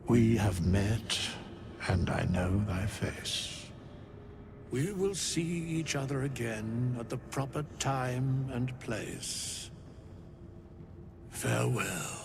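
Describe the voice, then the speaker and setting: A man playing an ancient undead figure in a game, solemn and cryptic, with grave, archaic, deliberate speech. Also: medium pitch, lively intonation, vocal fry, background noise, heavily acted. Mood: solemn, knowing. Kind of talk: scripted game cutscene acting.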